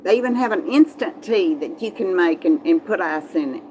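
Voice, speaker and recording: A woman's voice: lowish voice, expressive, normal speed, dark tone, a little hiss or hum. A woman with a Southern Texas accent, chatting casually to live viewers in a folksy, meandering way and often repeating herself. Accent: Texas Southern American. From Texas, southern United States. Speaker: livestream host.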